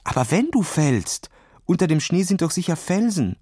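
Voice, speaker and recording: A man's voice: fairly high pitch, sing-song, normal speed, a little mellow, breathy edge, no echo, clean studio sound, voice pushed up. A man voicing an anxious, cautious schoolboy who pleads urgently in a children's audio story. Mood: worried warning.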